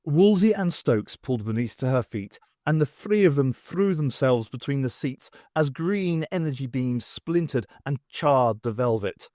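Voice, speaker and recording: A man's voice: medium pitch, expressive, a little slow, few pauses, warm tone, no room sound, studio quality. A man reading scripted story narration as the narrator of an audiobook.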